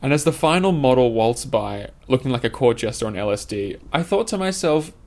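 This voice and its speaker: A man's voice: medium pitch, lively intonation, a little fast, a little mellow. A man reading his own scripted essay aloud, in a formal, literary and opinionated manner.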